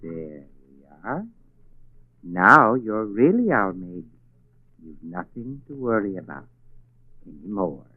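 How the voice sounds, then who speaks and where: A politely sinister husband, oily and courteous, voice swinging up and down, tinny old radio show. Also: male voice, on the low side, very animated, takes it slowly, pauses often, heavily acted. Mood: smooth, evasive. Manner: unctuous, courteous, hesitant. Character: smooth scheming murderous husband, politely sinister. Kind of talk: 1940s radio acting. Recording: old phone-like 1940s radio recording.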